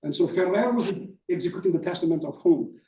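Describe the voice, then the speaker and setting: A high-voiced man answers audience questions as an academic presenter. His tone is soft-edged and mellow. He explains step by step, often tagging a point with "right?". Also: lively intonation, fast talker. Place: indoor conference room. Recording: echoey room, distant mic.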